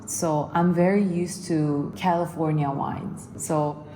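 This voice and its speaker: A woman's voice: sits low, steady tone, slow pace, warm tone, echoey room, slight background noise, poor audio. A young woman with an American accent, chatting casually at a wine tasting on her honeymoon. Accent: American English. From born in Korea, grew up in the United States. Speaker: vlogger on honeymoon, winery visitor.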